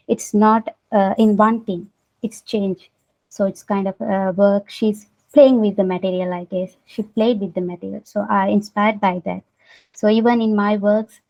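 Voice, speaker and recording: A woman with a Sri Lankan accent speaks in a warm, mellow voice. She talks hesitantly, filling gaps with little uhs as she looks for the right words. The recording sounds dull and lo-fi. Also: mid-range voice. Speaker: interviewee, artist. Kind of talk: casual interview answers.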